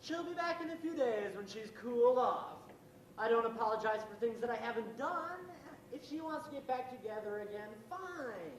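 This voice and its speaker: A man's voice: very high voice, animated, moderate pace. A man playing a defensive, lovestruck teenage boy in an amateur stage play. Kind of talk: amateur one-act stage play acting. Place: auditorium stage, miked from far off. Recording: echoey distant stage recording with noise.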